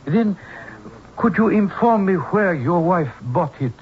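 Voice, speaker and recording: High-voiced, courteous Japanese businessman. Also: animated, breathy edge, exaggerated voice. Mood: grave, polite. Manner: formal, halting, very polite. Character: courteous, grave Japanese businessman, a mannered foreign visitor. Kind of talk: old-time radio drama acting. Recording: tinny, noisy old radio broadcast.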